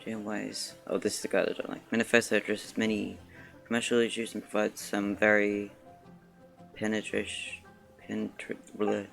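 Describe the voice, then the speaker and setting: A man streaming a video game casually, muttering in a subdued way and halting as he reads aloud. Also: lowish voice, completely flat delivery, creaky, a bit bright, faint music behind. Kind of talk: casual live-stream muttering while playing.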